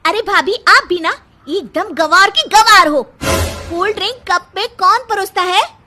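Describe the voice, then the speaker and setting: A woman's voice: very high-pitched, unhurried, background noise. A woman voicing a rude, smug college-age girl who teases her family, in an exaggerated sneering cartoon manner. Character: young mocking, giggling college-girl sister-in-law, rude and smug. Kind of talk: Hindi cartoon story dialogue.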